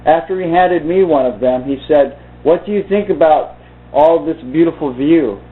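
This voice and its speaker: A man reading aloud and commenting informally in a halting, deliberate way. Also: a little high. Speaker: video host reading from a book. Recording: muffled phone-like narrow-band sound.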